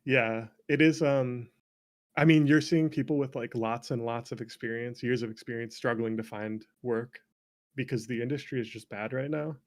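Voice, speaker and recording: A man speaking as a game developer guest in a casual conversation, candid and animated, and quick to laugh. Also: medium pitch.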